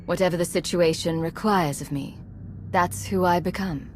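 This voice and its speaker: A woman's voice: lowish voice, fairly even intonation, moderate pace, darkish tone, slight background noise, lightly acted. A woman acting a resolute, pragmatic commander in a video game, guarded, terse and controlled. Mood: guarded, dry.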